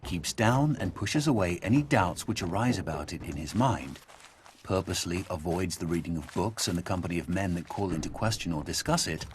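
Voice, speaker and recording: A man reads scripted essay passages in an articulate, measured way. His low voice moves with lively intonation, and faint noise sits in the recording. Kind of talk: scripted essay quotation reading.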